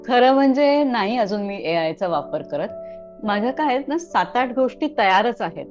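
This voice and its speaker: A woman's voice: a little high, expressive, normal speed, dull audio, soft background music. A middle-aged woman puppeteer, answering interview questions in a reflective, casual way with frequent hesitations. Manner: reflective, many hesitations, laughs softly.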